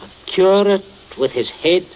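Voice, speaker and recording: A muffled old radio recording with faint hiss carries a domineering father. He is cold and calculating, clipping his words in a commanding way, with pitch that swings widely in 1940s drama style. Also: animated, a little slow. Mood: cold scheming. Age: middle-aged. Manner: clipped, commanding, probing questions.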